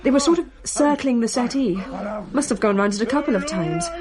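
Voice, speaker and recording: A woman's voice: fairly high pitch, lively intonation, a little fast, crowd noise, natural voice. An actress playing an exasperated, shaken daughter who recounts her family's haunting vividly and emphatically in a radio drama. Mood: shaken, urgent.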